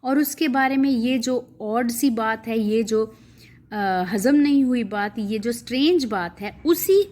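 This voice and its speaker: A woman teacher, reading lines slowly and then explaining them at length. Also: on the high side, darkish tone. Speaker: English teacher. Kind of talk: explaining an English text in Hindi.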